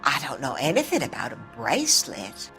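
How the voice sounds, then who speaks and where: A woman's voice: a bass voice, wildly swooping pitch, brisk, creaky, fairly bright tone, soft background music, heavily acted, low-quality recording. A woman playing a blunt, resentful witness in a 1940s detective video game, guarded and bitter in manner. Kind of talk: scripted dramatic game acting.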